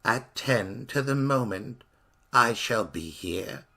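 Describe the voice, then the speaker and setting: A man voicing a stiff, drunken, ghostly stranger who speaks with slow, solemn dignity. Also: mid-range voice, lively intonation, creaky, sharp tone, voice pushed up, heavily acted. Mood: stiffly solemn. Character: stiff, gin-soaked ghostly male stranger.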